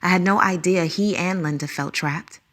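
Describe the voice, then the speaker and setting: Actress as a reflective young teacher, lowish voice, quiet and earnest. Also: female voice, normal speed, lightly acted. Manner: reflective, conflicted, soft-spoken. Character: Mona, earnest young woman schoolteacher. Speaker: narrator and lead character. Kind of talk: audio drama acting.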